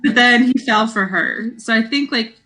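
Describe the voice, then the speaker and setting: A woman's voice: fairly high pitch, normal speed, crowd noise. A woman co-hosting a podcast, chatting casually as she discusses a book.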